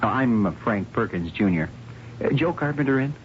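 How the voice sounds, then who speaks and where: An actor playing a cocky, breezy young graduate in a radio drama, casual and smug. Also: male voice, mid-range voice, animated, moderate pace, lightly acted. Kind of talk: 1970s radio drama acting. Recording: muffled, noisy 1970s radio broadcast.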